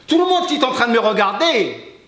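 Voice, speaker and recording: A man, a French speaker, talking earnestly to camera as a fitness coach, emphatic and halting, slipping between French and Arabic. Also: very high voice, big pitch swings, a bit bright. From Algeria. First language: English. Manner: emphatic, halting non-native French with repeats. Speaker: fitness coach and video host. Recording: echoey room.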